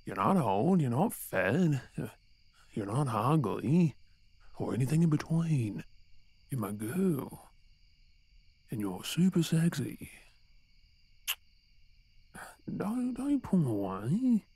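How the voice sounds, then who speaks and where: A man's voice: medium pitch, big pitch swings, slow, mellow, husky, no echo, heavily acted. A man with an Australian accent playing an exasperated but tender boyfriend in an intimate, conversational audio roleplay. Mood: affectionate, reassuring.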